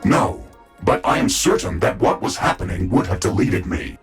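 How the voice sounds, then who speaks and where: A calm machine intelligence built into a battle mech, speaks in a low, synthetic male voice. Its reports are formal, clipped and matter-of-fact, yet the pitch moves in wide steps. Music plays under this sci-fi game dialogue. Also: moderate pace, husky, heavily acted. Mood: serious, analytical.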